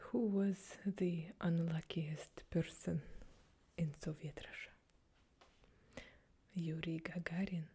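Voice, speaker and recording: A woman softly whispering a string of jokes as a calm ASMR reading. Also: fairly low pitch, slow pace, pauses often, husky. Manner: soft whisper throughout. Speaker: joke reader.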